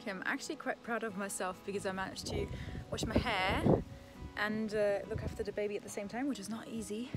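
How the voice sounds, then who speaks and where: A young woman talking to the camera in a relaxed, chatty way as she vlogs from her rural homestead. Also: mid-range voice, fast, over loud music, rough recording. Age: young adult. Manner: relaxed, chatty, with soft laughs. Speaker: homesteading vlogger. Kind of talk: casual vlog talk to camera. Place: outdoor shelter on a rural homestead.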